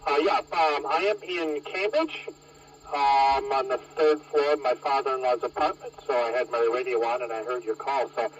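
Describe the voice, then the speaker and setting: Distant noisy radio voice, higher-pitched man. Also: moderate pace. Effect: heard over two-way radio. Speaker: amateur radio operator, contact on air. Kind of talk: casual two-way radio chat.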